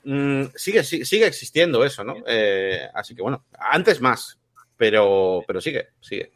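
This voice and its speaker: A man's voice: mid-range voice, big pitch swings, normal speed, fairly bright tone. A man co-hosting a casual interview, asking loose, rambling questions full of fillers. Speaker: podcast co-host.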